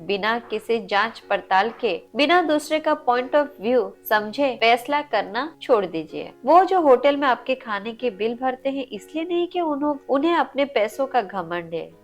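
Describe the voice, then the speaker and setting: High voiced lady telling a moral story, scripted, earnest n teachy, bit of music behind. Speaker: storyteller and channel host. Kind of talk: scripted narration of inspirational moral stories. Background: faint background music.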